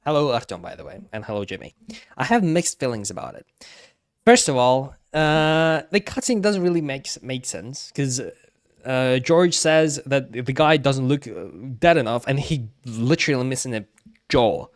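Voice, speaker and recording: A man livestreaming casual commentary on game cutscenes, talking haltingly and often repeating himself. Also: mid-range voice, lively intonation. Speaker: streamer.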